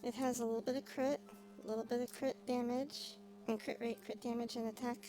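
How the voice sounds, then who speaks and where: A woman streamer giving casual live game commentary, thinking aloud with frequent pauses. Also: on the high side, steady tone, low-quality recording. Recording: music behind the voice.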